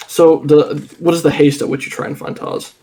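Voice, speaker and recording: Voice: mid-range voice, little pitch movement, moderate pace, dark tone. Game master voice, casual, dry, matter-of-fact, tabletop session. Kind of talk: running a tabletop game over voice chat.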